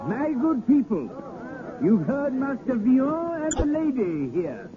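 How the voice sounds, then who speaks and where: A man's voice: extremely high pitch, sing-song, measured pace, full character voice. A man playing a sly, mocking French king in a 1930s radio drama, with wry, teasing hesitations, on a tinny, noisy old recording. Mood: excited, proclaiming.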